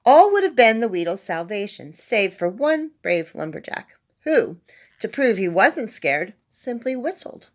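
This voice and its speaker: A woman's voice: mid-range voice, sing-song, a little slow. A woman reading a children's story aloud as narrator, expressively and with dramatic emphasis on key words. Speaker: storybook reader.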